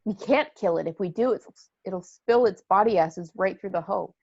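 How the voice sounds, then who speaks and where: A woman's voice: mid-range voice, normal speed, gravelly, darkish tone, husky. A woman in an amateur table read playing an alarmed, objecting spaceship crew member, on muffled lo-fi audio.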